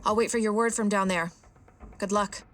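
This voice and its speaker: An actress playing a careful, helpful ship's advisor in a video game. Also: female voice, mid-range voice, steady tone, moderate pace, a little hiss or hum, close to an everyday voice. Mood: concerned. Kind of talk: dramatic video game acting.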